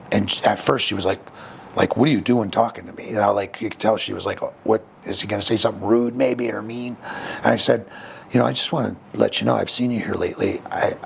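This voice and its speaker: A man's voice: fairly low pitch, gravelly, slight background noise. A man talking as a podcast guest, frank and reflective, with frequent fillers and restarts. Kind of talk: candid conversational storytelling.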